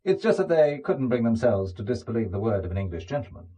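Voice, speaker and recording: Reluctant amateur spy, English gentleman played by a male actor: understated, wry, varied intonation, audio drama. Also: mid-range voice, big pitch swings, fast, husky, rough recording. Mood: cool, businesslike.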